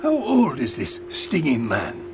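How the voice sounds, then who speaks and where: An actor in an audio drama playing an urgent yet deferential scientist and servant, with a raspy voice and formal manner. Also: male voice, mid-range voice, huge pitch swings, unhurried, music in the background. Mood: questioning, dismissive.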